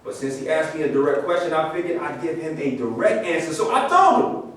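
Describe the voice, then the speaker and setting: A man's voice: fairly high pitch, big pitch swings, brisk, a bit bright. A man in a staged reading, playing a proud, indignant former football star recounting his grievances. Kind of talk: staged reading of a play, dramatic monologue. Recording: echoey room from far off, faint noise.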